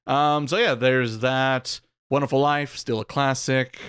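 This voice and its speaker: A man's voice: mid-range voice, fairly even intonation, measured pace, fairly bright tone, clear, resonant tone, no room sound, clean studio sound. A man co-hosting a podcast with a Southern Californian accent, joking wryly and moving the talk along. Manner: wry, joking, steers the conversation. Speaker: podcast co-host. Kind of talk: casual chat.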